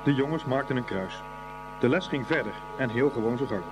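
A man's voice: mid-range voice, a little fast, background noise, close to an everyday voice. A man narrating a scripted boys' school story for a radio play. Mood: storytelling.

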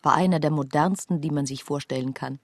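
A sombre woman narrates a radio drama. Her voice sits very low and has a husky grain. The delivery is measured and restrained, with a dry studio sound. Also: dry recording. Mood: matter-of-fact.

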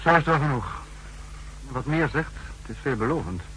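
Authoritative, measured spy chief. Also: male voice, mid-range voice, lively intonation, sharp tone, slight background noise, poor audio. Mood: measured, skeptical. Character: authoritative, skeptical male spy chief called Protector. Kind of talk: 1960s radio drama acting. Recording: faintly humming 1960s radio recording.

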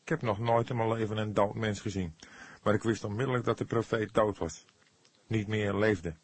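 A man's voice: fairly low pitch, fairly even intonation, slightly rough, no echo. Een man die in een luisterboek een angstige jonge verdachte speelt, verdedigend, oprecht, emotioneel en soms haperend. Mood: shaken, emotional. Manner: halting, pleading, earnest. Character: anxious young male suspect, defensive and emotional. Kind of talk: audiobook character dialogue.

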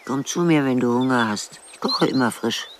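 An actress playing a plainspoken, wry old village woman in a radio drama, with a deep, often hushed and breathy voice. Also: moderate pace, noisy background. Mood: blunt, caring. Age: older. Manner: matter-of-fact, dryly blunt. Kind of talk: radio drama first-person narration and dialogue.

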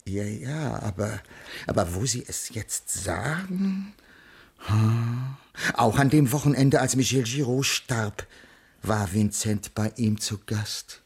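An actor playing a pedantic, hesitant school colleague with a formal, halting manner in a radio crime drama. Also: male voice, mid-range voice, lively intonation, vocal fry, husky, heavily acted. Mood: flustered. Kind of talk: 1980s German radio crime drama acting.

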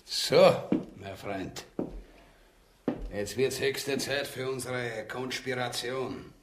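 A man's voice: medium pitch, extremely slow, noisy background. A man with an Austrian accent playing a coarse, self-satisfied schemer who talks with folksy relish in a farcical radio play. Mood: sly, gloating. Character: coarse, sly, self-satisfied male plotter.

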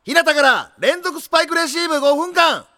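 A man playing a stern, level-headed high school volleyball captain in an anime audio drama, brisk and authoritative. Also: lively intonation, bright, forceful tone, no room sound, studio quality, full character voice. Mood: commanding. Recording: music behind the voice.

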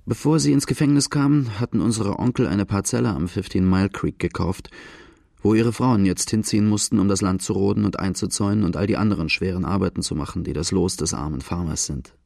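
An actor playing a young Australian farm-boy outlaw, telling his hard youth in a plain, earthy, measured radio drama narration with a low gravelly voice. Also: warm tone, dry recording, studio quality. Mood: plain, reflective.

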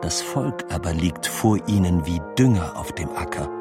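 Male: solemn political reciter, lowish pitch, deliberate fragmented phrasing, music loud behind him. Experimental radio play. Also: expressive. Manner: slow, deliberate, fragmented declamation. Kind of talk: declaimed text in experimental musical audio drama.

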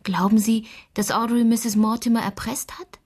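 An actress playing an earnest, inquisitive adolescent girl in a German radio crime drama, with a breathy voice. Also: a little high, little pitch movement, moderate pace, darkish tone. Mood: curious, thoughtful.

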